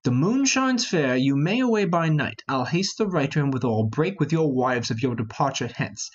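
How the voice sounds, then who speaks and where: A man's voice: mid-range voice, lively intonation, moderate pace, breathy edge, lo-fi sound. A man playing a commanding, solemn older lord and father in an amateur Shakespeare reading, declaiming the verse. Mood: anxious, exasperated.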